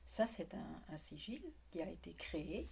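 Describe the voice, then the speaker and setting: A woman teaching a craft in French, with a non-native accent, speaking in a halting way with frequent restarts. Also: low voice, lively intonation, creaky, darkish tone, a little hiss or hum. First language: not French. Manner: halting, restarts words often. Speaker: witchcraft teacher. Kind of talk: casual explanatory tutorial.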